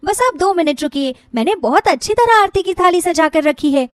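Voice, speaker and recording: Young sister-in-law for a moral story played by a woman: high, lively, chatty, affectionate and playful. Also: moderate pace, no echo, studio quality. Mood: eager, playful. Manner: eager, playful, talkative. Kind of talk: animated Hindi moral-story character voice.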